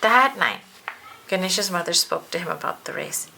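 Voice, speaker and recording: A woman narrating a children's picture book aloud, expressively and with deliberate emphasis. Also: lowish voice, expressive, creaky, bright, forceful tone. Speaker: storybook narrator. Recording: faint background noise.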